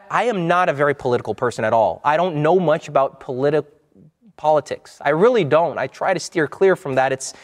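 A man delivers a conversational sermon, speaking in a somewhat raised voice. He restarts his phrases a lot and repeats himself as he explains Scripture. His voice rings with a long reverb, as in a large hall. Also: a little high. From United States. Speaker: preacher. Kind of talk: sermon-style Bible teaching.

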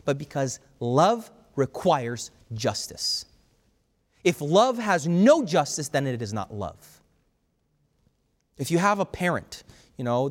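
Pastor, male, from Brazil. Loud, emphatic, fervent delivery, fairly high voice, long hall reverb. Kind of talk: sermon.

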